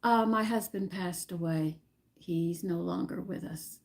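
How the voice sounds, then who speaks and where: A woman's voice: on the low side, unhurried, vocal fry. A woman hosting a home cooking live stream, chatting casually with viewers and rambling aloud as she searches her memory. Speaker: home cooking channel host.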